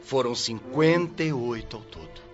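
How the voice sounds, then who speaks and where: A man's voice: mid-range voice, lively intonation, normal speed, lo-fi sound, music in the background, lightly acted. Man acting a stern watchman, dramatically swooping delivery. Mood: grave. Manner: grave, moralizing, emphatic. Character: stern, righteous chief watchman of the spirit world.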